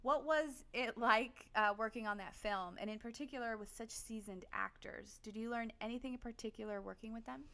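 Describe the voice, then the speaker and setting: A woman co-host with a somewhat high voice asks direct, curious questions in a casual interview. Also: fairly quick, creaky, hardly any pauses, fairly bright tone.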